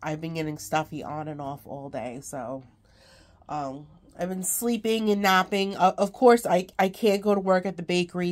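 A sick, congested woman chatting casually to her phone camera at home, rambling with many ums and small laughs. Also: on the low side. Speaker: craft vlogger.

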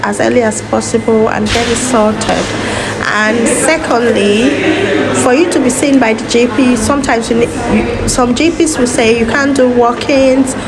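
Hoarse woman vlogging in an echoey hospital. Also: on the high side, noisy background. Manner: chatty, explains step by step. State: hoarse with sore throat. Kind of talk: casual vlog to camera. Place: hospital waiting area, indoors. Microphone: phone at arm's length. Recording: echoey room, loud background ambience.

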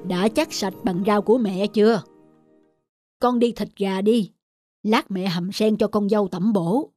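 A woman's voice: medium pitch, a little slow, warm tone, faint music behind, natural voice. A woman voicing a caring, teasing older country mother in a cartoon family drama. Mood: fond, teasing.

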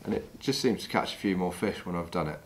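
A middle-aged man from England calmly talking through each step of tying a fishing fly while he works with his hands. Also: low-pitched, fast talker, slight background noise. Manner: calm, practical, talks while working with his hands. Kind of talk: step-by-step demonstration. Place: wooden lodge room, indoors.

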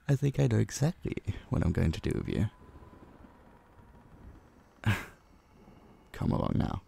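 Male actor as menacing ancient vampire, low gravelly voice, breathy whispers, condescending drawl, roleplay audio drama. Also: dark tone, exaggerated voice. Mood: gleeful predator. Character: possessive centuries-old male vampire, dominant, menacing captor.

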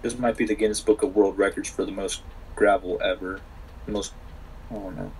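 A man's voice: lowish voice, fairly even intonation, brisk, darkish tone, low-quality recording. Young male gamer, jokey and goofy, light noise floor. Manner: joking, goofy. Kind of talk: casual gaming chat with friends.

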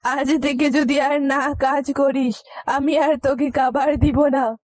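A woman's voice: high-pitched, little pitch movement, takes it slowly, a little mellow, dry recording. A woman voicing a weary village mother who scolds reproachfully in a storybook tale. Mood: stern threat.

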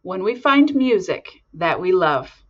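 An earnest, clumsy storybook giraffe, as a woman reader plays him, shy and wide-eyed. The pitch rises and falls freely, and the sound is a little dull. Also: very animated, slow, full character voice. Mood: hopeful.

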